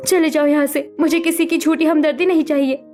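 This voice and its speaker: A woman's voice: high-pitched, flat delivery, fairly quick, darkish tone, close to an everyday voice. A woman voicing a hurt, defensive young woman in a cartoon story, emotional and distrustful. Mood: defensive, angry. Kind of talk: Hindi cartoon story voice acting. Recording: music behind the voice.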